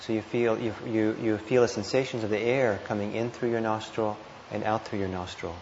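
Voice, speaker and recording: A man with a fairly low voice leads a guided meditation in an echoey room. He speaks as a teacher, gently and a little hesitantly, leaving pauses between short phrases. The recording is somewhat muffled, with clear background noise. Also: fairly even intonation. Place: indoor meditation hall. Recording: muffled, roomy live talk with noise.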